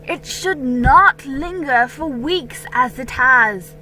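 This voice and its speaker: Forceful delivery, concerned colonial apothecary man, voiced by a woman, formal, admonishing, slow, earnest storybook figure. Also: sits high, soft background music, full character voice, rough recording. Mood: concerned, stern.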